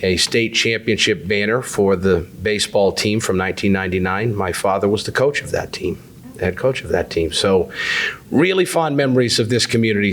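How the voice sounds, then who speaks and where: A man with a cold giving affable, self-deprecating town hall remarks with frequent "uh" fillers. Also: on the low side. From New Hampshire. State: has a cold. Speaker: state insurance commissioner.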